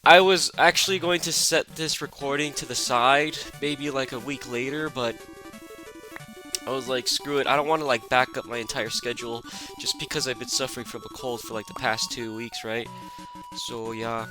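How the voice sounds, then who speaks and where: A young man with a raspy, stuffed-up voice from a cold, chatting casually as he plays a game. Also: medium pitch, normal speed. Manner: rambling and relaxed, lots of fillers. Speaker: gaming channel host. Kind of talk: casual gameplay commentary. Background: background music.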